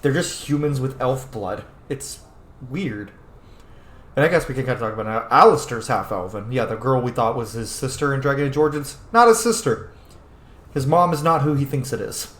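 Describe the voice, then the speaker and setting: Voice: medium pitch, fairly quick, roomy sound. A video game streamer giving casual let's play commentary, informal and sweary, thinking aloud.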